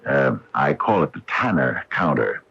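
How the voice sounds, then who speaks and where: An actor in a radio drama playing an older, hesitant scientist turned storekeeper, speaking in halting explanations. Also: male voice, very deep voice, big pitch swings, a bit bright, husky, full character voice. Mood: hesitant explaining. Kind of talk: 1980 radio drama acting. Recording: dull lo-fi vintage radio broadcast.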